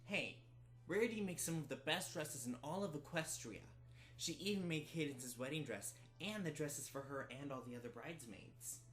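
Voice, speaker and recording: A woman's voice: low-pitched, expressive, normal speed, a bit bright, soft background music. A woman playing a loyal, hot-headed young boy who speaks brashly in an amateur audio drama. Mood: indignant.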